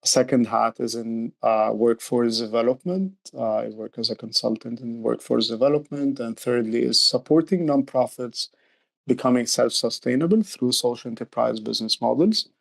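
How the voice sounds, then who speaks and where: Middle aged guy, bit gravelly, explaining stuff earnestly in an interview. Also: medium pitch, normal speed. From Egypt. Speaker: youth program director, interview guest.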